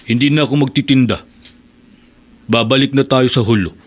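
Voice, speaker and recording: An actor playing a stern, domineering militant husband in a radio drama, speaking in a firm, commanding way. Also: male voice, mid-range voice, normal speed, close to an everyday voice. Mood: matter-of-fact. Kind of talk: Tagalog radio drama acting.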